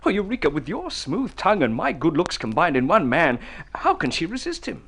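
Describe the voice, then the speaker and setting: An actor playing a vain, boastful, excitable suitor, blustering and self-satisfied, in a vintage radio drama. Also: male voice, a little high, fairly quick, exaggerated voice. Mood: boastful, excited. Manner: self-satisfied, blustering, hesitant when flustered. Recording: husky old radio recording with music.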